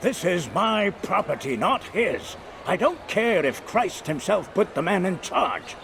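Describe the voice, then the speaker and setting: A defiant old man in a video game, blunt and combative. His high voice swings wildly in pitch amid clear background noise. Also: big pitch swings, measured pace, full character voice. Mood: indignant. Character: indignant, defiant old male property owner. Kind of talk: video game cutscene acting.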